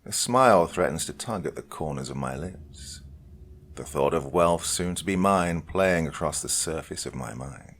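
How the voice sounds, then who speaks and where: A man with a deep, gravelly voice reads a dark tale with theatrical swings in volume. He drops to hushed whispers and then rises again. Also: animated, normal speed, a little hiss or hum. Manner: dramatic, swinging between loud and whispered. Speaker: story reader. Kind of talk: dramatic horror story reading.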